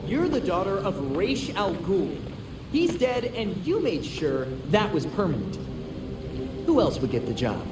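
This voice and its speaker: Young American male game hero sidekick, earnest detective, very animated delivery, echoey with loud music. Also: sits high, measured pace, far from the mic, very noisy, heavily acted. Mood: probing. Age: young adult. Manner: questioning, earnest. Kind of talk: video game cutscene acting.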